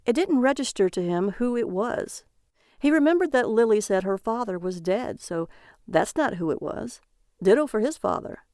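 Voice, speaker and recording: Brief: woman story reader, measured scripted narration, mid pitch with some fry. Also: lively intonation, darkish tone, no echo, studio quality. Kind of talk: scripted narration of a dark comic tale.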